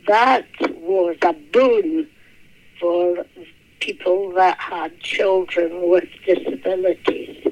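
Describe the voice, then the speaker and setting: A woman in her eighties recalling her childhood in a halting, unhurried way. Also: fairly low pitch, a little mellow. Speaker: interviewee. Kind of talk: reminiscing. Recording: muffled lo-fi phone-like recording.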